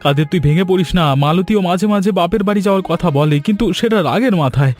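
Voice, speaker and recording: Practical elder brother, slightly high voice, a man acting. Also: fast talker, a little mellow, faint music behind, close to an everyday voice. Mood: concerned, practical. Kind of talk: cartoon family drama acting.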